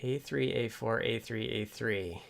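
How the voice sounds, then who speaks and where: A man casually talking through live coding and electronics as a stream host, halting as he thinks aloud. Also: medium pitch, gravelly. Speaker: livestream host and software developer.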